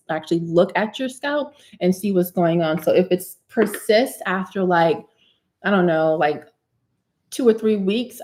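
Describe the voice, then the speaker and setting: A woman in her thirties hosting a live hair care Q&A, chatty and animated, calling viewers honey and sis. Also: fairly low pitch. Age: 30s. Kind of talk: live stream Q&A, casual chat.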